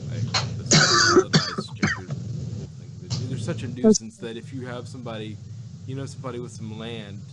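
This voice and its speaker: Rambling man chatting, noisy recording. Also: lowish voice. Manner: rambling, repeats phrases as he thinks aloud. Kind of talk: casual speculative chat.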